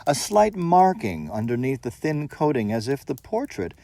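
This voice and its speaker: A man reading a ghost story as dramatic narration, with measured, theatrical phrasing and deliberate pauses. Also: medium pitch, sing-song, gravelly, mellow, no room sound. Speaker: audiobook reader.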